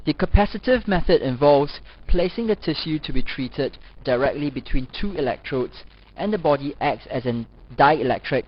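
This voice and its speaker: A man's voice: on the high side, lively intonation, normal speed. Man reading a lesson, dull lo-fi sound. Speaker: lecturer. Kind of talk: scripted educational narration. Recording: dull, lo-fi voice-over recording.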